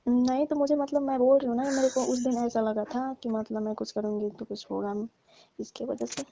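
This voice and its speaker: Woman, deferential and pleading, higher-pitched, fairly even delivery, private call with muffled sound. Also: moderate pace, slight background noise. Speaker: domestic worker on a call with employer. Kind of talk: private phone conversation. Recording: muffled, roomy phone call recording.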